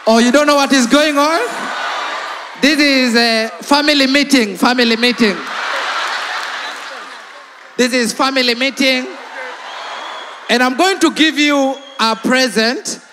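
A man with a Kenyan accent, hosting live comedy banter in a loud, teasing way on a stage microphone. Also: very high-pitched, measured pace, long pauses, crowd noise, noisy background. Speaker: comedy show host. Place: large night-time open-air stage show. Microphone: handheld microphone. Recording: hall reverb, music behind, live stage PA.

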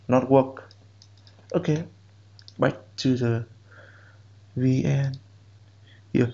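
Slow, halting man with a lo-fi mic. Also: medium pitch, fairly even intonation, creaky, dark tone. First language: Vietnamese. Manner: slow, halting step-by-step instructions in non-native English. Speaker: programming instructor. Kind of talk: screen-recorded software tutorial.

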